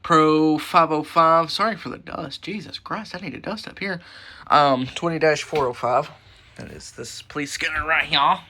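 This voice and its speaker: A man with a noticeably creaky voice talks casually through a show-and-tell. He rambles, mutters asides and swears mildly. Some faint-to-clear background noise sits around him. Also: a little high, moderate pace, sharp tone. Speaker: radio collector and host. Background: weather radios playing.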